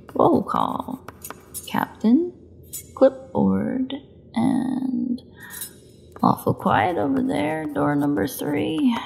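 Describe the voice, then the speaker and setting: Gravelly, sarcastic captive vampire woman, acted by a woman. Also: fairly high pitch, darkish tone, a little hiss or hum, full character voice. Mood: bored taunting. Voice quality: gravelly, creaky voice. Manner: snarky, mocking, bitterly flippant. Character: defiant, sarcastic captive female vampire under interrogation. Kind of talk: dramatic audio roleplay monologue.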